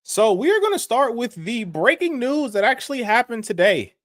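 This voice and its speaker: A man co-hosting a sports podcast, explaining news and making his case in a measured, casual way. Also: very high-pitched, big pitch swings, a bit bright, no echo, clean studio sound. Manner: measured, explaining, some restarts. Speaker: podcast co-host.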